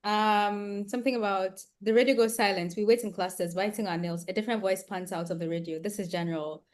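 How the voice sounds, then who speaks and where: A woman hosts a book club chat in a casual, conversational way. Her voice sits at an ordinary pitch for a woman. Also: steady tone, fairly quick, a little mellow.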